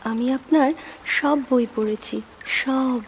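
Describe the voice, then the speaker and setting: A woman's voice: sits high, slow pace, big-room sound, a little hiss or hum, rough recording. A woman acting a lonely, intense young female reader in an audio drama, hesitant and earnest, lingering on her words.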